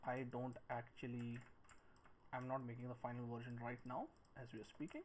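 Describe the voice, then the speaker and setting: A man with a mid-pitched voice narrates a software tutorial in a casual way, talking himself through each step as he works. The recording has clear background noise, with keyboard typing and mouse clicks. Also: monotone, fairly quick. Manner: talks through each step while working, muttering counts and shortcuts. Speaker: 3D modelling instructor.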